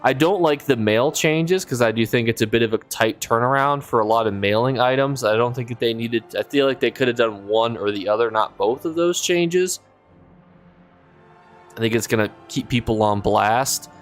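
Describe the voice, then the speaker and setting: Hoarse-voiced man streaming a game. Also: mid-range voice, moderate pace, soft background music. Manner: rambling, thinking aloud, reads chat. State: losing his voice, sick. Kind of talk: casual live-stream chat while gaming.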